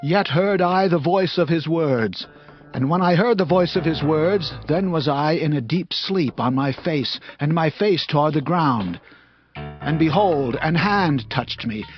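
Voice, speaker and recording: A man's voice: on the high side, animated, unhurried. An actor playing a devout, humble prophet in a dramatized scripture reading, earnest and reverent as he declaims. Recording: slightly dull sound, music underneath.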